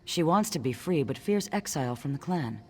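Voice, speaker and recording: An actress playing a wise old orc grandmother in a video game, speaking as a deliberate, sage clan elder. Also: low voice, lively intonation, warm tone. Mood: sage, solemn. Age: older. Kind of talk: video game dialogue acting.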